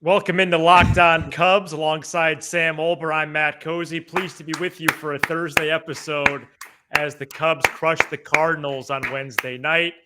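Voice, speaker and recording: A man co-hosting a sports podcast in casual commentary, halting and repeating words as he thinks. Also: a little high, little pitch movement, bright, forceful tone, slight background noise.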